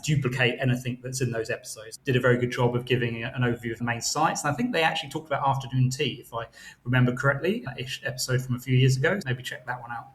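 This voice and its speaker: A man's voice: medium pitch, steady tone, rough recording. A middle-aged man with an English accent, a travel blogger guest chatting casually about what to see. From United Kingdom. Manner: talks fast, chatty, light laughs, lists sights. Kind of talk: casual interview.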